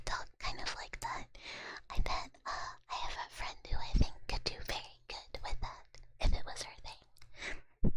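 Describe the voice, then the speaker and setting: A young woman streamer whispering casually as she answers chat, with lots of "like" and loose fillers. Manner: soft whisper, casual filler-heavy talk.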